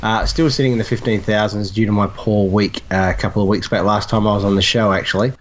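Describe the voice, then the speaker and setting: Matter-of-fact man, low voice. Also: fairly even intonation, quick pace. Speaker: podcast guest. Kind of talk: casual podcast chat.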